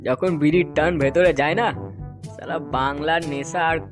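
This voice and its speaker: A man's voice: a little high, fairly even intonation, normal speed, lightly acted. Guy doing a slangy young loafer for a cartoon, crude talk, music underneath. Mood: lazy, bossy. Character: foul-mouthed young club loafer organising a neighbourhood picnic. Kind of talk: crude comedy cartoon dub.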